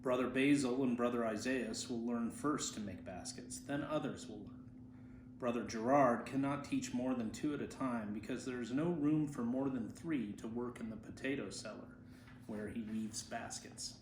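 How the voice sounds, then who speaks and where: A man's voice: mid-range voice, moderate pace. A man reading a literary story aloud as an amateur reader. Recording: roomy echo, faint background noise.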